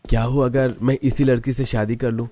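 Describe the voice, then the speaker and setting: A man's voice: medium pitch, level tone, a little fast, dark tone, natural voice. A man playing a lazy, scheming young son in a Hindi cartoon story, with a whiny, cocky manner.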